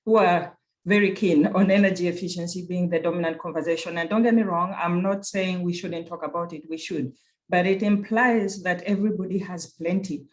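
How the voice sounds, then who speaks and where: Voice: medium pitch, fairly even intonation, a little fast. A speaker from Kenya with a Kenyan accent, giving an earnest, reflective talk at a virtual conference as a university program director, often circling back to rephrase a point.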